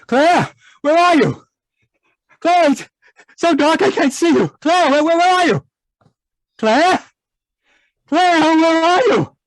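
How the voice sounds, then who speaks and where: An actor playing an anguished, haunted man in an old-time radio horror monologue, halting and repetitive in a melodramatic way. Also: very high-pitched, lively intonation, dry recording, heavily acted. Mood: frantic calling. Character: anguished, haunted man raving about monstrous giant worms.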